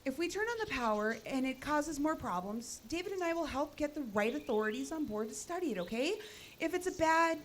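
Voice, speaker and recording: High urgent woman researcher in a radio drama, acted live on stage, emphatic, determined, bit of background noise. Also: animated, brisk. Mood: tense, insistent. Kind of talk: live audio drama acting. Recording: noisy live stage recording.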